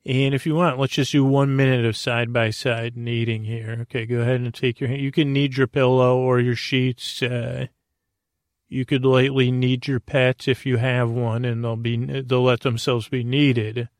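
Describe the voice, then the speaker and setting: A man with a creaky voice hosting a bedtime podcast, delivering a halting, meandering, goofy comic monologue. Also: medium pitch, little pitch movement, dry recording, clean studio sound. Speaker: podcast host.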